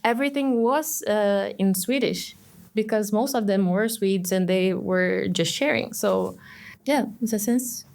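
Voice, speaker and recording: A woman's voice: medium pitch, a little slow, gravelly, darkish tone. A woman whose first language is Portuguese, a student of Swedish chatting and telling stories on a podcast. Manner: chatty, laughs easily, storytelling. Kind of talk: podcast conversation.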